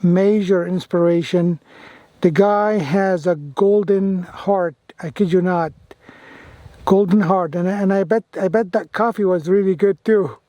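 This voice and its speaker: A man's voice: high voice, measured pace, dark tone, dry recording, highs cut off. A man chatting casually as an amateur radio vlogger, effusive and given to repeating himself. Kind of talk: casual radio chat and hands-on commentary.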